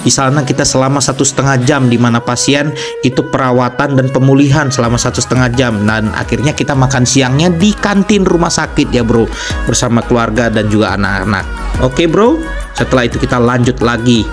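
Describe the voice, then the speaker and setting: A man's voice: medium pitch, fairly quick, music in the background. A man giving chatty, casual travel vlog narration, addressing the viewer like a buddy. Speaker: travel vlogger. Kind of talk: casual road trip narration.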